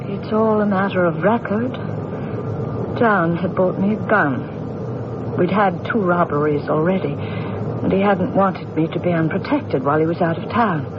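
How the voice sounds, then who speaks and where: Radio mystery role: wealthy accused widow played by a woman, formal, guarded, halting, on a dull, noisy vintage recording. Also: fairly low pitch, close to an everyday voice. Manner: measured, guarded, formal, halting when recounting. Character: poised wealthy widow accused of killing her husband. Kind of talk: old-time radio mystery acting.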